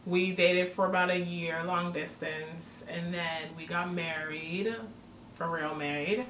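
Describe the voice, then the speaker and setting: A woman's voice: lowish voice, steady tone, slow pace, fairly bright tone. A woman from Toronto, born in Jamaica, earnestly explaining her feelings to viewers in a casual couple's vlog. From born in Jamaica, raised in Toronto, Canada. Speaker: vlogger. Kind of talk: casual couple's chat to camera. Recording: roomy, distant mic with faint noise.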